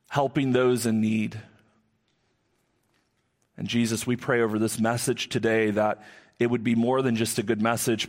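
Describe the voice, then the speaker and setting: Booming-voiced male pastor preaching. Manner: earnest, repeats key phrases for emphasis. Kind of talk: sermon and prayer. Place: church sanctuary. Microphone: live amplified microphone.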